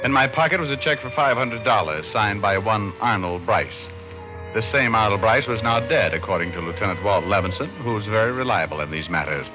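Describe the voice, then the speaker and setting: An actor playing a wry, wisecracking hard-boiled private detective in a 1940s radio noir, dry and deadpan. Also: male voice, lowish voice, fairly quick, lightly acted. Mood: dry narration. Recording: muffled old radio recording, music behind.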